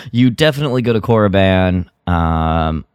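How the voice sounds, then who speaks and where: A man co-hosting a casual podcast discussion, talking in a halting way as he thinks aloud. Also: low-pitched, expressive, darkish tone, smooth, no room sound, clean studio sound. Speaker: podcast co-host.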